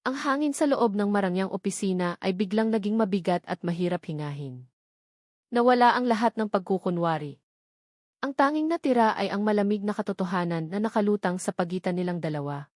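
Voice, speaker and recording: A woman's voice: medium pitch, a little mellow, dry recording, studio quality. A woman reading a dramatic scripted story as an audiobook narrator.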